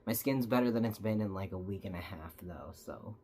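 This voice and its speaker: A woman's voice: extremely low pitch, a little fast, mellow, slight background noise. A young woman, slightly stuffy from a cold, chatting casually as she explains each step of a makeup tutorial.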